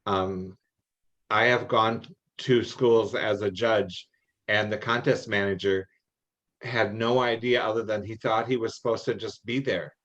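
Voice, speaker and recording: A middle-aged man with a fairly low voice, heard through slightly muffled meeting audio. He talks in a folksy, halting way, repeating words as he gives practical advice informally. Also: steady tone, a bit bright. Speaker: experienced contest judge and adviser. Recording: muffled, band-limited online meeting audio.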